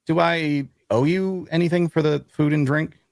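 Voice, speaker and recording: An actor playing an awkward, bookish loner adventurer who narrates an audio drama in a wry way. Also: male voice, on the high side, fairly even intonation, moderate pace, creaky, dry recording, studio quality. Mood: awkward, polite. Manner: wry, hesitant in conversation. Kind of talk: first-person narration and dialogue in audio drama.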